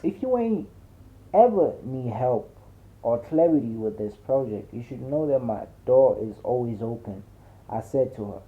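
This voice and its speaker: Male audiobook narrator, average pitch, conversational and emphatic storytelling, thin telephone-grade sound. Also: animated, measured pace. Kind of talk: dramatic first-person audiobook narration with slangy conversational delivery. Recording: muffled narrow phone-like audio.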